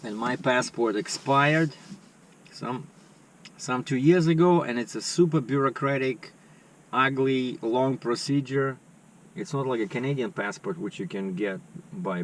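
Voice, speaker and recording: Guy in his 50s, vlogging, rambly chat, mid pitch, bit far from the mic. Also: moderate pace. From Russia. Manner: rambling, conversational, with many fillers. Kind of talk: casual chat to camera. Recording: slightly distant mic with faint background noise.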